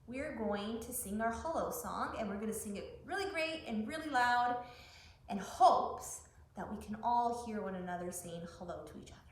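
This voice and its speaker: A woman's voice: a little high, roomy sound, slight background noise. A woman hosting a children's story time, reading picture books and talking in simple, encouraging words to young listeners. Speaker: library story-time host.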